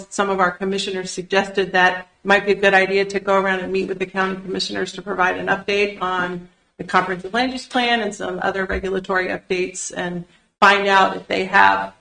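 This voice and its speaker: A woman's voice: medium pitch, level tone, fairly quick, vocal fry, bright, forceful tone. A woman, a state land use planner, gives an organized presentation with "uh" fillers to county commissioners at a public meeting. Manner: organized, explanatory, with frequent "uh" fillers. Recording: roomy public meeting recording.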